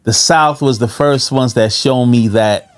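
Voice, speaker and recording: Halting New man, mid-pitched. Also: soft background music. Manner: halting, repeats words and searches for phrasing. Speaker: podcast co-host. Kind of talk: casual podcast conversation.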